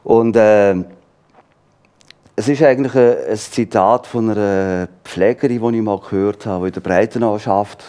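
A man in his fifties with a Swiss German accent, a guest in a studio interview, relaxed and laughing often as he thinks aloud. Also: on the low side, moderate pace, clean tone. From born in London, raised in Graz and Olten. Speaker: author guest. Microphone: lapel.

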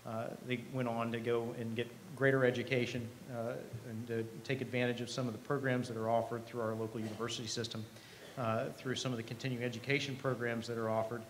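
A middle-aged man giving a conversational keynote speech at a podium microphone, earnest and emphatic, with deliberate pauses. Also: mid-range voice, little pitch movement, poor audio. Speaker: company president, keynote speaker. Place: event hall stage. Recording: live podium speech with clear background noise.

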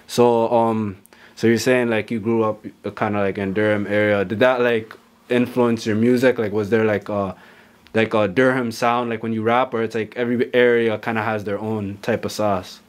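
A young man with a fairly low voice asks his questions in a relaxed, rambling way, stacking "like" between his words. Between answers he nods along with short "okay, okay" and "facts.". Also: moderate pace. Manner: relaxed, many "like" fillers and "okay, okay" backchannels. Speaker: interviewer host. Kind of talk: casual interview questions. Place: small studio room, seated on a couch.

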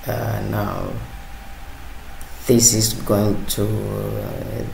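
A man narrating a hands-on electronics tutorial, halting and repeating words as he works. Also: fairly low pitch, little pitch movement, vocal fry. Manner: halting, hesitant, repeats words while working. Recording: roomy sound with faint background noise.

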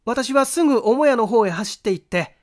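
A man's voice: very high-pitched, lively intonation, moderate pace, pitched above the performer's own voice. A man voicing an earnest young male witness in a mystery audiobook, recounting events formally and in detail. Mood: earnest, urgent.

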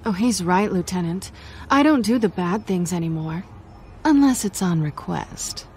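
An actress playing a sly, playful cat burglar in a video game, cool and sardonic. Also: female voice, on the low side, lively intonation, measured pace, a little mellow, a little hiss or hum. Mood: teasing. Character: sly, teasing female cat burglar in costume.

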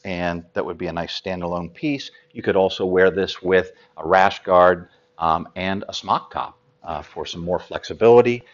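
Low-voiced American man, slightly muffled. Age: 50s. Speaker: sailing gear expert presenter. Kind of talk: informal product explainer to camera.

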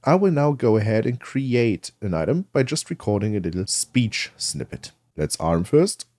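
Low-voiced man with lively intonation. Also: moderate pace, no echo. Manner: explanatory, step-by-step. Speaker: tutorial presenter. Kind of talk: spoken software tutorial.

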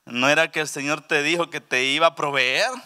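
A middle-aged man gives a testimony from a church stage, speaking into a handheld microphone. His voice is bright and forceful. He swings from soft, low asides to loud, emphatic declarations. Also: fairly even intonation, brisk. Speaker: pastor. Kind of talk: testimony sermon. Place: church stage with purple lighting.

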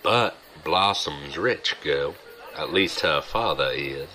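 An actor playing a sly, regretful gambler and old friend, wry and confiding, in an audio drama. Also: male voice, very deep voice, lively intonation, slow pace, pushed and bright, faint music behind, heavily acted, rough recording. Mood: confiding, teasing. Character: sly, regretful gambler, smooth-talking old buddy, man.

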